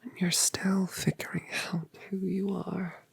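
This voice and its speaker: A woman voicing a colossal, doting mother dragoness, whispering breathily and cooing gentle questions in an ASMR roleplay. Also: fairly low pitch, level tone, unhurried, gravelly, husky, exaggerated voice. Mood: tender.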